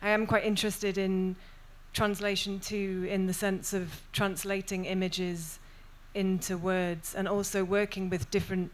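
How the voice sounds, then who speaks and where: A young Welsh woman poet speaking thoughtfully on a festival panel, with many pauses and restarts. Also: mid-range voice, little pitch movement. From Wales. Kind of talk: panel discussion and poetry reading.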